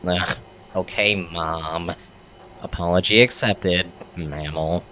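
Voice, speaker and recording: Surly insectoid alien voiced by a man, low-pitched, dry and sarcastic, for sci-fi audio comedy. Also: steady tone, takes it slowly, sharp tone, full character voice. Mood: grudging. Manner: dry, grudging wisecracks. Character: grumpy sarcastic alien bug crew member. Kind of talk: comedic audio drama acting.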